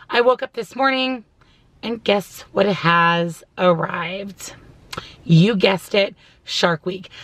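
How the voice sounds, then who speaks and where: Hoarse woman chatting in a parked car. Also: medium pitch, fairly bright tone, no room sound. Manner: chatty, upbeat, emphatic. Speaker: weight-loss vlogger. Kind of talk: casual vlog chat to camera. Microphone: phone camera at arm's length.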